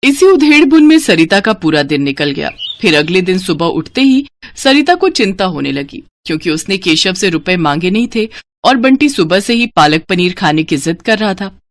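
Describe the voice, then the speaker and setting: A woman's voice: lowish voice, sing-song, normal speed. A woman storyteller reading scripted cartoon story narration, with faint noise behind her.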